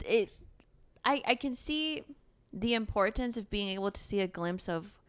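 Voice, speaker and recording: A woman's voice: medium pitch, animated, normal speed, clear, resonant tone, no echo. A woman co-hosting a book podcast, chatting casually and candidly in informal, slangy language. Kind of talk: casual book discussion chat.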